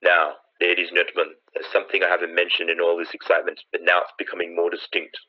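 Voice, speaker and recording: A man's voice: deep voice, completely flat delivery, quick pace, lightly acted. A man playing an urgent, awed on-scene news commentator in a 1930s-style radio drama, searching for words as they describe things. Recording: dull lo-fi old radio sound.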